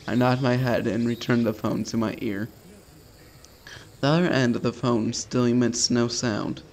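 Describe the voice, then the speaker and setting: A man's voice: medium pitch, steady tone, normal speed, a little mellow, a little hiss or hum. A man hosting a gaming video, reading a visual novel aloud casually and stumbling now and then with sweary, self-deprecating asides.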